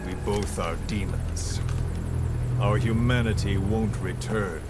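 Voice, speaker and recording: An actor playing a menacing, grandiose male villain in a video game, speaking slowly and deliberately. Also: on the low side, fairly even intonation. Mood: grim, grandiose. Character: menacing, theatrical male villain, self-described demon. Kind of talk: video game cutscene acting. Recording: loud music over the voice.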